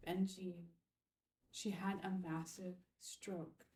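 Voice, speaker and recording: An actress playing a grief-stricken war correspondent, halting and subdued as she holds back sobs. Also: fairly low pitch, flat delivery, warm tone. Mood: grieving, hesitant. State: tearful, choked up. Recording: roomy, distant from the mic.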